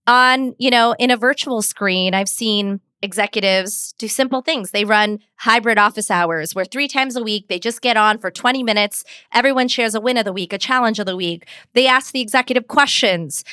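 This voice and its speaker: Woman, middle-aged, smooth resonant voice, confident, structured explanations, dry close sound. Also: a little high, moderate pace, hardly any pauses, bright, forceful tone, no room sound. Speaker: communications expert guest. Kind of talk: interview answers, advice with examples.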